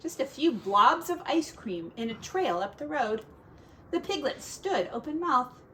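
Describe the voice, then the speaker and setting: A woman teacher reading a children's story aloud in an expressive storytelling style. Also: high-pitched, measured pace, a little hiss or hum, rough recording.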